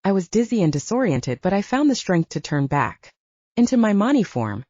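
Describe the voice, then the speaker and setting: A woman's voice: mid-range voice, very animated, normal speed, darkish tone, dry recording, muffled recording. A woman reading first-person fiction as a scripted audiobook narrator.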